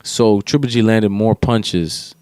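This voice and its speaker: A man's voice: fairly low pitch, slow, a little mellow, clean tone, no room sound, studio quality. A man co-hosting a podcast, talking sports casually in an emphatic, opinionated, slangy way. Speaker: podcast co-host.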